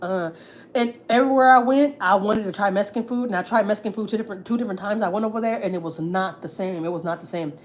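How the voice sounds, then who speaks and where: A woman's voice: mid-range voice, fast talker, a little hiss or hum. A woman, hosting a casual livestream and rambling through frank slang and fillers. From Houston, Texas. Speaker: livestream host. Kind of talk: casual livestream chat, reading viewer comments. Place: at home.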